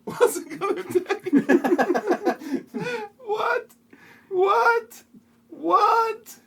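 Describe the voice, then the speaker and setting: A woman voicing a wildly squealing, cackling, absurd character in an amateur comic audio play with drawn-out, shrieky delivery. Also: extremely high pitch, gravelly, a bit bright, heavily acted. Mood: hysterical.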